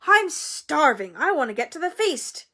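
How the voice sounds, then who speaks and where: A woman voicing a hot-headed, awkward teenage boy in a storybook reading, blunt and loud. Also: sits high, very animated, normal speed, pitched above the performer's own voice, exaggerated voice. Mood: eager.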